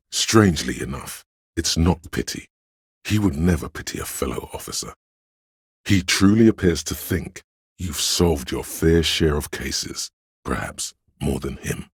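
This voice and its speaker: Extremely deep, gravelly male narrator. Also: no room sound, clean studio sound. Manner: measured, grave, literary delivery. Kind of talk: dramatic scripted game narration.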